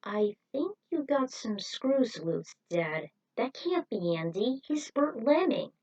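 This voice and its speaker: A woman's voice: a little high, sing-song, takes it slowly, darkish tone, husky, recorded from a distance, muffled recording, exaggerated voice. A woman voicing an eager young male racer in an informal, exclaiming way for a story. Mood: baffled.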